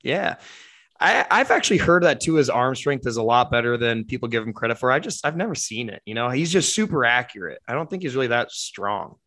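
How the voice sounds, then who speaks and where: A man's voice: mid-range voice, a little fast. Young male co-host, casual slangy sports talk, voice slightly worn, laughs easily. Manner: animated, laughs often, slangy. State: voice partly lost. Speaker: podcast co-host.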